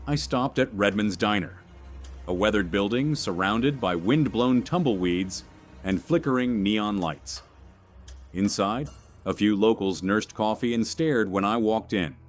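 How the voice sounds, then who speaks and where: A man narrating a scripted first-person horror story in a measured, suspenseful manner. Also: lowish voice.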